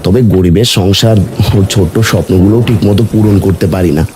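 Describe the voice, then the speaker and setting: A man playing a kindly, humble village man in an animated cartoon story. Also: low voice, quick pace, noisy background, natural voice. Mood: earnest, sympathetic. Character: kindly humble villagers: poor boatman and lonely widower. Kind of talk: animated story character dialogue.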